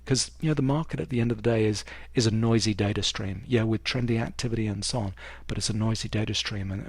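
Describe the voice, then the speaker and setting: Low-pitched man, casual trading instructor. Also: breathy edge, no room sound. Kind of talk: casual screen-share market commentary.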